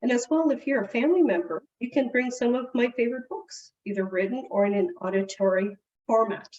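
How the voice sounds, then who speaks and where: A woman's voice: a little high, brisk. Woman, first language Portuguese, webinar presenter, conversational, distant mic, dull sound. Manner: warm, conversational explaining with small restarts.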